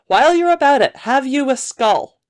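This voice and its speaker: A woman narrator plays a bold lady grave robber in a gothic storybook. Her voice is pitched high and her manner is brisk and direct. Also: animated, a little mellow. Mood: bold bartering.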